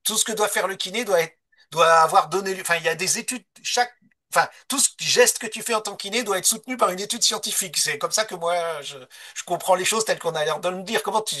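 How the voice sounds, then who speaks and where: Bright, higher-voiced male interviewer. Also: fast talker. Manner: engaged, frequent short agreements. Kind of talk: casual conversational interviewing.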